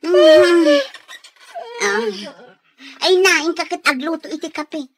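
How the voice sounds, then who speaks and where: A woman's voice: extremely high pitch, fairly even intonation, slow, slight background noise. An actress playing a chatty, meddlesome village woman in a radio comedy drama.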